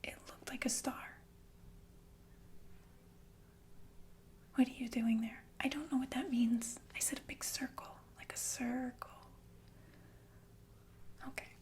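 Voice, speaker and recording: Sinister ASMR nurse , acted by a woman: high-pitched, whispered, halting, soothing on the surface, casually menacing. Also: fairly even intonation, darkish tone, natural voice. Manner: slow, halting, casually polite about menace. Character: softly menacing killer nurse, falsely soothing. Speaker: ASMR performer. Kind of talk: whispered ASMR roleplay, addressed to listener.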